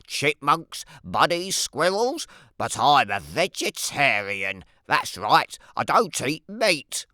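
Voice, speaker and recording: A woman voicing a sly, hungry, wry male wolf who tells his own tale in an animated children's read-aloud, with dramatic emphasis and playful asides. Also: deep voice, dry recording, heavily acted.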